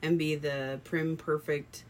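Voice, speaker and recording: A woman with a naturally low voice co-hosting a casual podcast, speaking candidly about herself. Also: little pitch movement, slow pace. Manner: candid, personal. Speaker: podcast co-host. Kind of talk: casual discussion.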